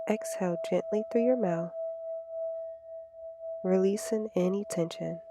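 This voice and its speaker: A woman leading a slow, scripted guided meditation in a calm, gentle, soothing way. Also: medium pitch. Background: meditation music with singing bowls.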